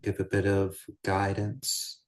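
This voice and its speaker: A man guiding a meditation as a teacher, speaking in measured, deliberate short phrases with pauses between them. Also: sits low, completely flat delivery. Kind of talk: guided meditation, unscripted Buddhist teaching.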